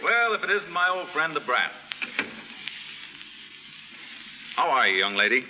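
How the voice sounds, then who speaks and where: An actor playing a genial, hesitant, lovelorn well-bred bachelor in a 1930s radio drama, polite and gently teasing. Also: male voice, on the high side, very animated, fast talker. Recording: hissy 1930s radio recording, reverberant stage.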